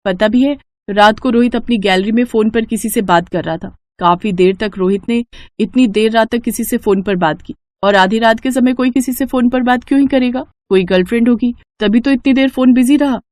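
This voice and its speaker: A woman voicing a high, guarded young daughter-in-law. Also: brisk, darkish tone, dry recording, studio quality, lightly acted. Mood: suspicious. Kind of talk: Hindi family storybook dialogue.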